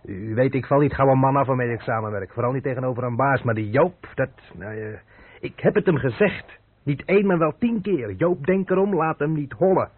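A man's voice: medium pitch, animated, brisk, dry recording, close to an everyday voice. An actor playing a loyal, exasperated truck driver in a radio play, hesitant, colloquial and deferential to his boss. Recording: dry, thin telephone-like old radio recording.

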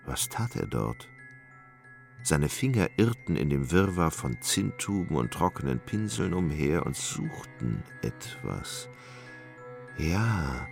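A man's voice: low-pitched, gravelly, a bit bright, heavily acted. A man narrating a literary radio drama as a storyteller, in a dramatic, suspenseful way. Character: dramatic storyteller of a Gothic tale. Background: music.

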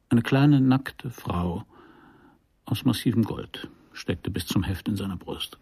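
An actor playing a wry private detective who narrates his case in a dry, deliberate, sardonic way for a radio crime play. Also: mid-range voice, a little mellow, breathy edge. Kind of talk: scripted first-person noir radio play narration.

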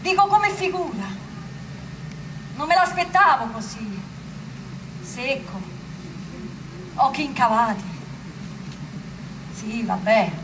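An actress playing a plain-spoken, sceptical but proud Roman commoner of the 1800s, musing haltingly in a stage monologue. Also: female voice, high-pitched, expressive, background noise. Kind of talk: theatrical monologue.

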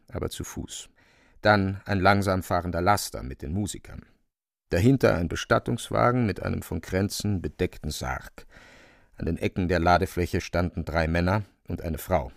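Knarrig tiefer, leidenschaftlicher Erzähler. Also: male voice, fairly low pitch, creaky, no echo, studio quality. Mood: measured narration. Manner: theatrical, rhetorical, with dramatic pauses. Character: male author-narrator, passionately involved storyteller. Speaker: narrator. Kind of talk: literary radio drama narration.

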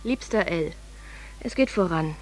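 A woman's voice: lowish voice, expressive, a little slow, darkish tone, slight background noise, rough recording. A woman playing a letter writer, reading her own report aloud in a radio drama. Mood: dry, composed.

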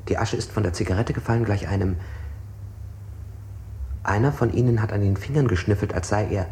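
Interrogated man, male actor, low and dark-toned, repetitive literary phrasing, evasive, oddly exact, German experimental radio play. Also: brisk, slight background noise, lightly acted. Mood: halting, precise. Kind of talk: 1960s German avant-garde radio play.

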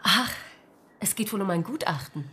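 A woman's voice: mid-range voice, expressive, normal speed, pushed and bright. An actress playing a poised, haughty upper-class woman dealer in a radio crime drama, speaking with formal, guarded politeness. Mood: cool, guarded.

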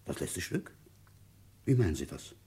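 Deep, husky, musing police commissioner. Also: male voice, sing-song, warm tone, exaggerated voice. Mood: patient, probing. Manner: thinking aloud, conversational, halting to ponder. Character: methodical, musing male village police commissioner, dryly patient. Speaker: narrator and lead detective in a radio play. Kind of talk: German radio drama, intimate first-person narration.

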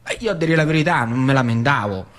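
A man's voice: mid-range voice, expressive, quick pace, fairly bright tone, natural voice. An actor playing a plain-spoken old factory worker with a central Italian Lazio dialect, telling his memories emphatically in a stage monologue. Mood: reminiscing. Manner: emphatic storytelling with repeated phrases. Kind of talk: theatrical monologue.

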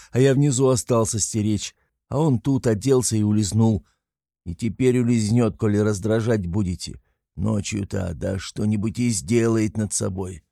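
A man's voice: fairly low pitch, gravelly, mellow, dry recording, studio quality, voice pushed up. A man voicing a tipsy, hot-headed and talkative young man in an audiobook, a loyal friend with a blustering, emphatic manner.

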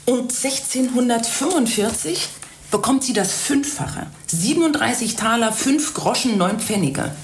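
An actress playing a helpful, precise town archivist in a naturalistic radio drama, with a matter-of-fact, informative manner. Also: female voice, fairly high pitch, expressive, unhurried. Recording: roomy echo, faint noise.

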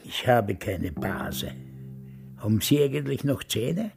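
A man's voice: on the low side, very animated, takes it slowly, a little mellow, soft background music, full character voice. An older man acting a stubborn, grumbling man in his nineties in a radio play. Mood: wistful, dry. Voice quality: gravelly, husky. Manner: emphatic, repetitive grumbling. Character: indignant, stubborn, vain, wistful 95-year-old man.

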